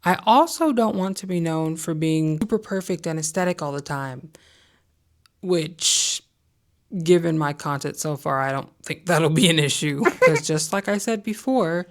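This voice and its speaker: Young woman vlogger, low voice, chatty and candid, lots of "i'm just saying". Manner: candid, conversational, opinionated with hedges. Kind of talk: casual talking-head vlog.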